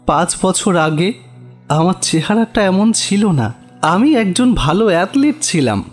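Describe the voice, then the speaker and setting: A man's voice: high-pitched, big pitch swings, measured pace, soft background music, pitched above the performer's own voice, heavily acted. A man voicing a gaunt, sickly, doomed man who confesses his guilt solemnly and politely in a horror story. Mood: haunted, recounting. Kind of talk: horror audio story character acting.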